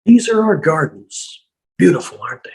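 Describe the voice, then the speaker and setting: An amateur actor playing a genial, self-satisfied asylum doctor in a table read, formal and measured. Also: mid-range voice, sing-song, gravelly, a little mellow. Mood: smooth, proud. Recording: video call with music underneath. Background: music.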